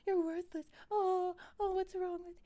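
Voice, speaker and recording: Woman acting weepy female spirit, high voice, sobbing, moaning, cruel, vlog storytelling. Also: flat delivery, moderate pace, dark tone. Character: sobbing female spirit spewing cruel self-loathing insults.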